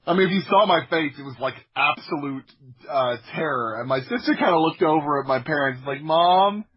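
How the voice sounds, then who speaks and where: A man's voice: fairly high pitch, animated, brisk, breathy edge, muffled recording. An American man casually telling personal anecdotes in a wry, self-deprecating way. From Florida, United States. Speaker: podcast guest, storyteller.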